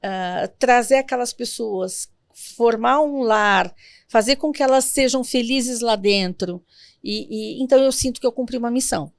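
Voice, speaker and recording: A Brazilian woman in her fifties, a guest in an interview, telling family stories in a reflective, gentle way. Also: a little high, moderate pace, no echo. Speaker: guest, sworn translator. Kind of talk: interview conversation.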